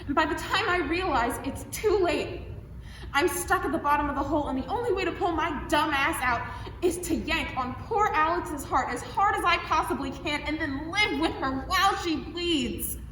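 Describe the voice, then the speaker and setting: A woman's voice: high voice, moderate pace, pushed and bright. A young woman acting in a student stage play as an exasperated, guilt-ridden girlfriend who rants and swears. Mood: anguished ranting. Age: young adult. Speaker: student actor. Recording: echoey hall, distant mic, noisy.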